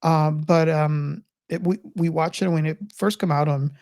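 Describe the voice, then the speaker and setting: Female podcast host, middle-aged, low register, halting reflective delivery, casual movie talk, dry sound. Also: fairly even intonation, darkish tone, dry recording. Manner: reflective, halting, circles back over phrases. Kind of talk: casual film discussion.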